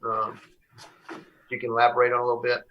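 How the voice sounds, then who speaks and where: A man, probably in his sixties, chairing a public meeting as board chairman in a halting way, searching for words. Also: medium pitch, monotone, muffled recording.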